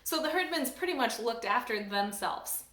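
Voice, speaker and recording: Woman church staff member, high voice, animated storybook reader, roomy echo. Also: normal speed, a little hiss or hum. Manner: animated, expressive reading. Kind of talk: storybook read-aloud.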